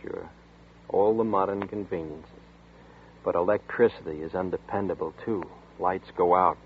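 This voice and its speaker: A man's voice: low voice, moderate pace, a little hiss or hum. An actor playing a weary, defeated man who narrates his own ordeal, in 1940s radio acting. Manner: weary, tense first-person narration. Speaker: narrator and lead character. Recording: tinny old radio broadcast with music.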